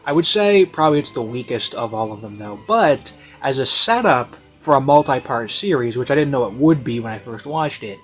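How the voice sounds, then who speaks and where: A man's voice: mid-range voice, animated, brisk, hardly any pauses. A man giving a casual, unscripted review in a rambling, hesitant way with lots of fillers. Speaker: online reviewer. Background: classical music.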